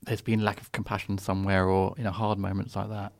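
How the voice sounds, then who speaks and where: A man's voice: low voice, monotone, fast, gravelly, no echo, clean studio sound. A man interviewing someone in a casual, conversational style.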